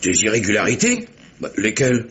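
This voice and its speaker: An actor playing a grumbling, money-minded sea captain, blunt and grudging, in a French radio mystery drama. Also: male voice, fairly low pitch, huge pitch swings, brisk, fairly bright tone. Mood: indignant.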